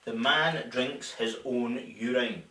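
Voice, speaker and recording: A man's voice: medium pitch, slow pace, bright, forceful tone, echoey room, distant mic, rough recording. A Scottish man hosting a casual comic fight-sports chat show, joking and chuckling with stammering restarts. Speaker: show host.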